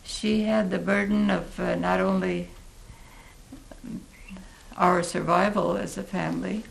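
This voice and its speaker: An elderly American woman in her eighties recalling her life in a reflective interview, halting and thoughtful, with a gravelly, creaky voice. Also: lowish voice, steady tone, rough recording. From Oregon, United States. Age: 80s. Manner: halting, deliberate, thoughtful pauses. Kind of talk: reflective oral history interview.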